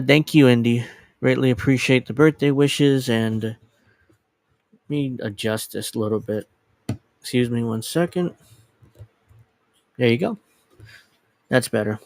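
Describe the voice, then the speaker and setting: A man's voice: mid-range voice, no room sound. A man in his thirties chatting casually as a livestream host, rambling and scattered, self-deprecating and casually profane. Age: 30s. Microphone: dedicated microphone.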